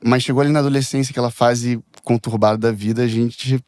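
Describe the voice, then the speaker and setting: A young man from Rio de Janeiro with a Brazilian accent, a podcast guest talking in a reserved, soft-spoken way. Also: medium pitch, steady tone, quick pace, dry recording. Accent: Brazilian, Rio de Janeiro with Espírito Santo touches. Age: young adult. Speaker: guest, worship musician. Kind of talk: casual chat.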